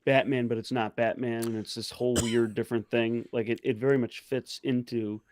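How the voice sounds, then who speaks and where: Medium-pitched man, dry close sound. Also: level tone, fairly quick, a little mellow, no echo. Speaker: co-host of a pop culture discussion show. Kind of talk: casual chat, measured counterpoints.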